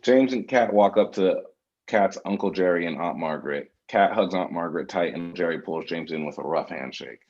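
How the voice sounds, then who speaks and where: A man's voice: deep voice, moderate pace, darkish tone. A man reading scripted stage directions as narrator, in a slightly lo-fi recording. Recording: slightly dull, lo-fi sound.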